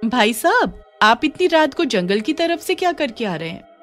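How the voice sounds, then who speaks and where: A woman's voice: sits high, big pitch swings, normal speed, pitched above the performer's own voice. A woman playing a meek, polite village widow in a horror story, with a pleading, deferential manner. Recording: music under the voice.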